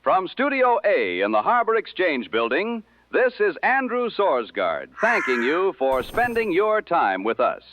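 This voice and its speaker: Man playing a genial, animated old-time radio host. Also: high voice, full character voice. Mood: genial, wry. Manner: measured, formal, dryly humorous. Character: genial, courtly 1960s late-night radio host. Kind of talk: 1960s radio broadcast acting. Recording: dry, band-limited vintage radio sound.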